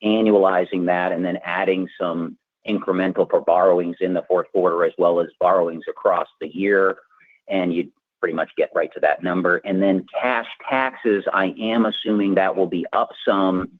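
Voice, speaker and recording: A male executive giving unscripted, measured explanations on an earnings call, with many asides. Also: sits low, steady tone, dry recording. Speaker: company finance executive answering analysts. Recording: narrow tinny conference phone line.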